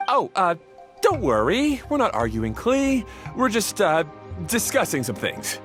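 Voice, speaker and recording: A man's voice: high voice, wildly swooping pitch, measured pace, a bit bright, soft background music, heavily acted. A man voicing an anxious, protective young brother in a video game cutscene, earnest and a little hesitant. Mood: flustered, defensive.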